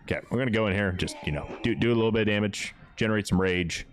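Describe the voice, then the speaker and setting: A man with a deep, smooth voice giving casual live commentary as he plays a game, chatting away to his characters. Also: rapid-fire. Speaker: game streamer, host. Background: music.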